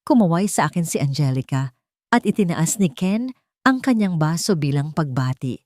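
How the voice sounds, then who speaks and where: A woman's voice: sits low, lively intonation, normal speed, warm tone, no echo, clean studio sound. A woman narrating a scripted first-person romance story.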